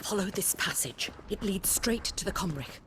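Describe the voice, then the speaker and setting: An actress playing a caring but anxious noblewoman in a fantasy game, hushed and admonishing. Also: medium pitch, expressive, normal speed, heavy background noise, close to an everyday voice. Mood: hushed, brisk. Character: anxious, caring noblewoman, scolding yet kind. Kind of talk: dramatic fantasy game acting.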